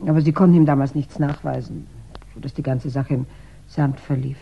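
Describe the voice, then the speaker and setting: A woman's voice: a bass voice, steady tone, a little fast, vocal fry, slightly rough, full character voice. An actress playing a devoted, anxious younger woman in a 1960s German radio crime play, earnest and hesitant. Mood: guarded. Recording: dull 1960s radio recording.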